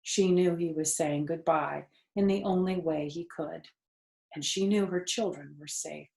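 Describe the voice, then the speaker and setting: A woman reading a children's story aloud expressively, pausing to explain things to young listeners. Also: low voice, gravelly, dark tone. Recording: roomy indoor echo.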